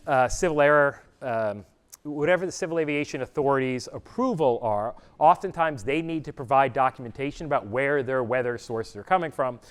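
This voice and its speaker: A middle-aged man presenting at a conference session, speaking loudly and plainly to a live audience, with frequent "uh" fillers. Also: fairly high pitch, clear, resonant tone. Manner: loud, projecting voice. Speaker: presenter, customer education trainer. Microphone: room mic or none, speaking to live audience.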